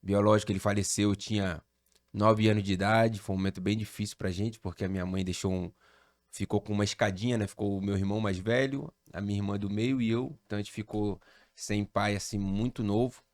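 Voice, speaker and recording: A man's voice: lowish voice, brisk, no echo, studio quality. Man from Rio telling a story. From Rio de Janeiro, Brazil. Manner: animated storyteller, relaxed slang. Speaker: pastor, podcast guest. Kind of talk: casual storytelling chat.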